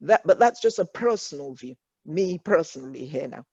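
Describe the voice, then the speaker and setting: A woman's voice: low-pitched, lively intonation, moderate pace, a little mellow, breathy edge, dry recording. A woman with an English accent leading a workshop, earnest and reassuring, often repeating her points. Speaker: workshop facilitator. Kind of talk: encouraging workshop talk. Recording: dull lo-fi online call audio.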